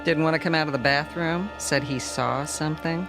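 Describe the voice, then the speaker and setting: An actress playing a young, unflappable flight attendant in a radio drama, chatting in a playful, gossipy way. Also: female voice, sits low, brisk, over music. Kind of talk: vintage-style radio drama acting.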